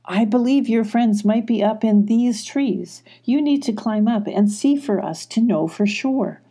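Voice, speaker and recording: A woman voicing a caring, excitable girl adventurer in a children's story, earnest and encouraging. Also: on the high side, unhurried, breathy edge, voice pushed up.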